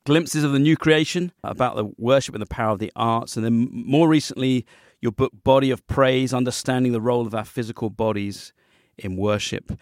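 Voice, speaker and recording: A man's voice: mid-range voice, brisk, dry recording, studio quality. Jokey man hosting a podcast. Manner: jokey, self-deprecating. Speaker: podcast host. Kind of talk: casual interview chat.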